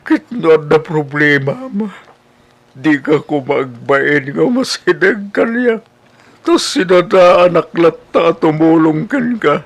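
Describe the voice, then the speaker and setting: A man's voice: a little high, lively intonation, slow pace, heavily acted. A man acting a lovelorn, self-sacrificing young suitor in an Ilocano radio comedy drama, with an Ilocano accent. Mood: resigned, wistful.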